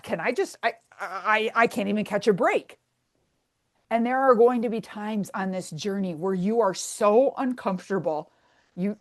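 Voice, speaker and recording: A woman, a fitness coach talking casually and bluntly on a webinar, full of fillers and casual swearing. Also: a little high.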